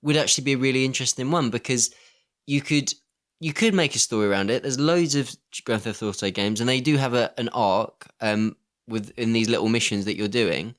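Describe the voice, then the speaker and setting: A man's voice: mid-range voice, fairly quick. A man co-hosting a podcast in casual film chat, conversationally posing questions and giving his opinions. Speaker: podcast co-host.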